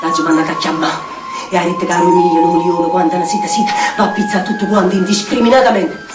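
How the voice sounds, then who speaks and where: An actress playing a volatile, bitter Neapolitan slum dweller in a theatrical dialect monologue, chanting repeated phrases and swinging between mutters and shouts. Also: female voice, lowish voice, steady tone, a little fast, close to an everyday voice. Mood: sardonic, ranting. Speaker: stage actress. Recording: roomy, distant stage sound with music.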